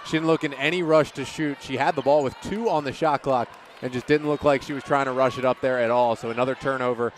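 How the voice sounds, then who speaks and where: A male color commentator breaks down basketball plays in a medium-pitched voice. He is conversational and explanatory, with faint gym noise and bouncing balls behind him. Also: steady tone, fast. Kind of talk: casual live basketball analysis.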